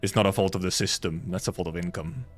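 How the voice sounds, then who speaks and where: A man's voice: sits low, fast talker, soft background music. A man livestreaming a game, chatting casually in a rambling, blunt, sweary way. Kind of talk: casual gaming stream chat.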